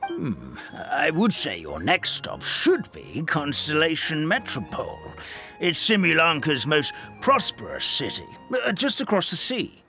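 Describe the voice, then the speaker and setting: A stammering, kindly old grandpa. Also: male voice, on the high side, huge pitch swings, measured pace, bright, forceful tone, soft background music, exaggerated voice. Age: older. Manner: stammering, wistful, fond. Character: kindly old male forest elder, grandfatherly. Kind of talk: game cutscene voice acting.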